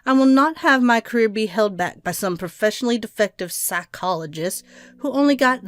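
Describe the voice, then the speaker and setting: A woman's voice: mid-range voice, no echo, natural voice. An actor playing a cold, impatient woman doctor in an audio drama, curt, clinical and scheming. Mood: irritated.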